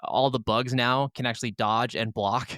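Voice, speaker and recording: A man with a smooth, clean voice of medium pitch co-hosts a gaming podcast. He talks casually and with obvious enthusiasm. The recording is close and very dry. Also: fairly even intonation, normal speed, a bit bright, no room sound. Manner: enthusiastic, informal. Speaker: podcast co-host.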